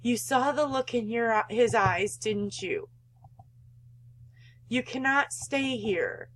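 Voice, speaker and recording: A woman's voice: fairly high pitch, animated, slow pace, slight background noise. A woman voicing a cold, belittling, controlling mother in a visual novel, with a condescending, guilt-tripping manner. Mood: stern.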